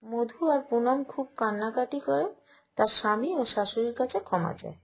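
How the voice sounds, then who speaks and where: A woman's voice: a little high, normal speed. A woman narrating a scripted moral tale for a cartoon.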